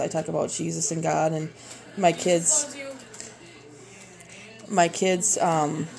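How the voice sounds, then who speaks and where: A woman in her thirties with a Minnesota accent, chatting casually and a bit haltingly while she chops vegetables in her home kitchen, recorded on a propped-up phone. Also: low-pitched, creaky, dark tone. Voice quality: rambling, hesitant with many fillers. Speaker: homeschooling mom and cooking vlogger. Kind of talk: casual cooking video. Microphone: phone propped up on the counter.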